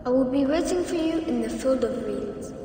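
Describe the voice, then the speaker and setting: Distant and drenched in echo, a gentle ghost child in a video game. The high voice is calm and consoling, with music behind it. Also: female voice, normal speed. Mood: tender, calm. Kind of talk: dramatic video game cutscene acting.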